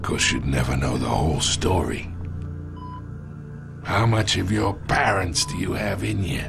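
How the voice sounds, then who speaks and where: A man playing an ailing old crime boss in a video game, gravelly, sly and menacing, talking down to his listener in a needling way. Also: low voice, heavily acted. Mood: sly, pained. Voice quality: gravelly, creaky. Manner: slow, needling, condescending. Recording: music behind the voice.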